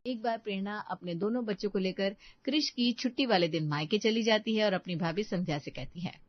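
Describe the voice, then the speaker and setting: A woman's voice: medium pitch, a little fast, no room sound, highs cut off. A woman reading a scripted moral story as its narrator.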